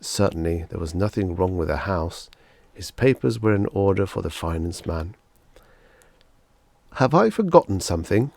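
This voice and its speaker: A man reads fiction aloud as an audiobook narrator. His voice sits low and has a creaky, gravelly grain. The sound is very dry, with hardly any room around it. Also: normal speed, a little mellow, no echo. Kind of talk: scripted story narration.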